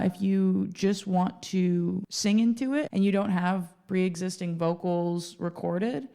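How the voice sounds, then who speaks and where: A woman's voice: lowish voice, dark tone. A woman hosting a casual tutorial, talking in an informal, chatty way with playful asides. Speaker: video host and music gear reviewer.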